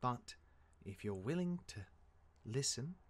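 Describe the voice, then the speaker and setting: A man's voice: mid-range voice, big pitch swings, slow pace. A man playing a cocky, easygoing god who mentors his younger sibling in a fantasy audio drama, casual, rambling and teasing. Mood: instructive. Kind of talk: fantasy audio roleplay acting.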